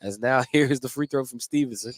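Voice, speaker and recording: A man's voice: medium pitch, a little fast. A man calling live basketball play-by-play as a sports announcer from a gym. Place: indoor school basketball gym. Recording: noisy gym background.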